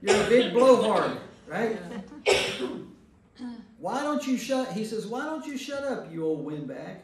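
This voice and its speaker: A man's voice: high voice, big pitch swings, measured pace, crowd noise. A man leading a Bible study for a group, teaching emphatically and repeating phrases for emphasis. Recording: very echoey room, distant mic, faint noise.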